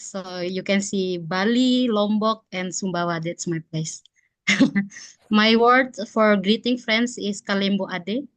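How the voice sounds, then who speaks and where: A friendly woman from Indonesia introduces herself in an online meeting, slipping in small laughs. Her voice is dry and close, with no room sound. She speaks at an ordinary middle pitch. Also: unhurried, a bit bright, no room sound. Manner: friendly, laughs between phrases. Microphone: video call.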